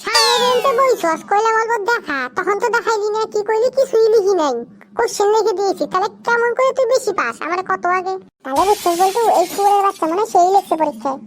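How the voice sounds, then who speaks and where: A performer voicing a cheeky, foul-mouthed cartoon schoolboy who scolds his friends in a squeaky, brash, slangy way. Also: fast. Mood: indignant, abusive. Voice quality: squeaky, artificially high. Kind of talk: comedy cartoon dialogue.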